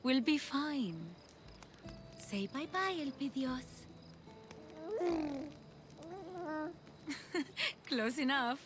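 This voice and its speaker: A young mother and devoted daughter in a game drama. The voice is high and very unhurried, tender and emotional, with music playing prominently behind. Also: expressive, full character voice. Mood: warm, playful. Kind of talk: dramatic video game acting.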